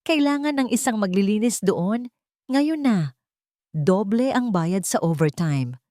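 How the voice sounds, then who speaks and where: A woman voicing a haughty, threatening female hotel supervisor in a sharp, commanding manner in a story. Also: mid-range voice, expressive, unhurried, no room sound, studio quality, voice pushed up, natural voice. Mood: urgent, commanding.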